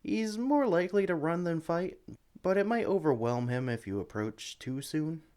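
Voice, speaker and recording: A man playing a friendly, caring animal sanctuary worker in an ASMR roleplay, talking gently and encouragingly to a newcomer. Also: fairly high pitch, lively intonation, normal speed, natural voice. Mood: upbeat, encouraging. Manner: gentle, encouraging, chatty, coos to creatures. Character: friendly, caring experienced male Pokemon sanctuary worker showing newcomer around. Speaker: voice actor in audio roleplay. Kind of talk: ASMR roleplay, acted one-sided conversation.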